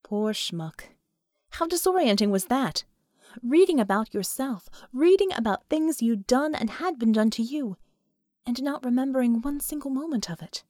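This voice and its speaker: Female audiobook narrator, fairly high pitch, expressive scripted fiction read, close dry sound. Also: normal speed, no echo.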